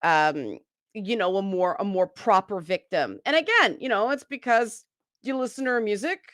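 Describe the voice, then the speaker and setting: A woman's voice: medium pitch, expressive, moderate pace, fairly bright tone, dry recording, studio quality. A woman speaking as a guest on a casual panel discussion, frank and opinionated.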